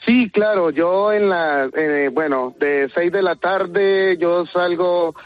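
Over a thin, tinny telephone line, a man with a Colombian accent talks as a radio caller. His voice is slightly high, and he speaks politely with small hesitations. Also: takes it slowly, dry recording. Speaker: radio show caller, delivery driver and radio broadcaster. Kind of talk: phone conversation on air.

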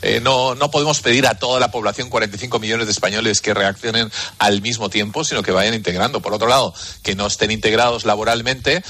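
A man giving his opinions as a radio talk guest, stumbling over fillers and restarts. His voice is low but sharp and forceful. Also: fast, no echo. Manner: hesitant starts, many fillers, animated asides. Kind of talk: opinionated discussion.

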